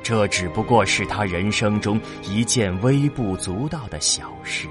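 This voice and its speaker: A man's voice: on the low side, a little slow. A man narrating a scripted science-fiction story in a dramatic radio style. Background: music.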